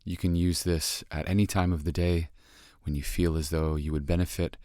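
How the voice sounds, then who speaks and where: A man leading a guided meditation in a calm, gentle, measured manner with long pauses. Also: low voice, no echo, studio quality. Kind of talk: guided meditation, slow and soothing instruction.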